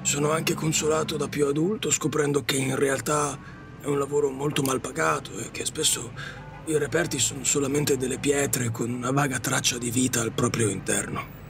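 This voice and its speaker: An actor playing a resigned, regretful taxi driver in an audio drama, speaking politely, reflectively and with some hesitation. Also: male voice, mid-range voice, steady tone, moderate pace, vocal fry, music in the background, close to an everyday voice. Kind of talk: Italian audio drama acting.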